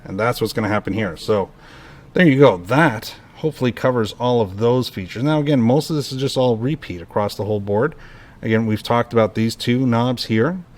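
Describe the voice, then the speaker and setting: Relaxed man walking through a gear demo. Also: medium pitch, brisk. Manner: relaxed, explanatory, talks through each step while adjusting knobs. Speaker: product tutorial host. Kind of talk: casual hands-on equipment demo.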